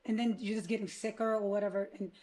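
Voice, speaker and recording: A woman's voice: steady tone, fast, dark tone. A woman co-hosting a podcast while losing her voice, speaking earnestly in long run-on thoughts. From Connecticut, United States. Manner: earnest, talks in run-on thoughts, lowers her voice on serious points. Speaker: podcast co-host. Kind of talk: casual podcast conversation.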